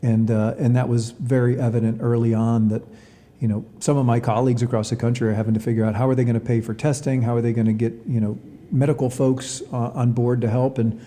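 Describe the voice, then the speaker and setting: A man answering interview questions as a college athletics director, in a measured way with hesitant, repeated words. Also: mid-range voice, little pitch movement, dark tone, long reverb tail.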